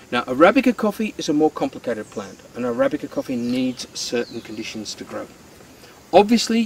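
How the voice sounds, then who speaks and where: A man's voice: mid-range voice, far from the mic, a little hiss or hum. A man guiding a tour of his coffee farm, patiently explaining step by step with the odd filler word, recorded outdoors. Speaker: coffee farm owner and guide.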